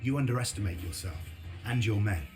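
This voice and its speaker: Man as a low-voiced, grave resistance veteran, music behind. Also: expressive, natural voice. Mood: calm, advising. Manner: sober, measured, serious. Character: grave, reluctant resistance veteran, weary and resolute narrator. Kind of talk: dramatic game narration and dialogue.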